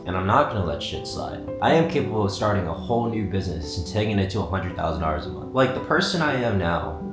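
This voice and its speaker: A young man in his twenties speaks in a lowish voice, casual and candid, dropping swear words as he reflects. The sound is roomy, with loud guitar music playing behind him. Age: 20s. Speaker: vlogger. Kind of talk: reflective personal vlog. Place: apartment.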